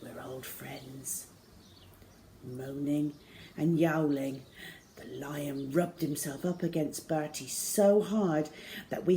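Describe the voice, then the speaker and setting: A middle-aged woman reading a children's novel aloud to schoolchildren in a measured, deliberate way. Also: low-pitched, dark tone, a little hiss or hum. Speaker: storyteller for schoolchildren. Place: small plain room indoors.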